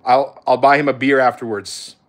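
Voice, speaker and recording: A man's voice: medium pitch, fairly even intonation, moderate pace. American guy streamer, casual slangy talk, kinda blunt, answering chat questions. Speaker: streamer, content creator.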